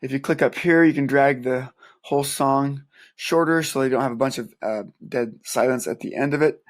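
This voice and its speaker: A man teaching music, calmly talking through a software tutorial step by step with a soft voice. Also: medium pitch, normal speed. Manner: calm step-by-step explaining, counting aloud. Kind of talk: screen-recorded software tutorial.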